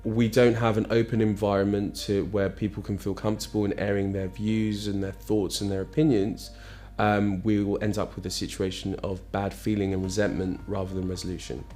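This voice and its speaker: A man speaking calmly and deliberately in a corporate testimonial. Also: fairly low pitch, soft background music.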